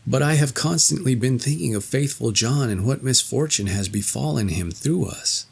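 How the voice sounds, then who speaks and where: A man's voice: medium pitch, moderate pace, creaky, husky. A man voicing a faithful, dutiful fairy tale queen with formal, earnest speech.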